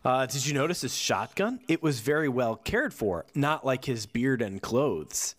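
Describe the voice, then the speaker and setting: A man co-hosting a casual podcast, mixing read-aloud passages with relaxed, dry-humored chat. His pitch rises and falls freely as he speaks. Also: a little slow. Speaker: podcast co-host reading from a book.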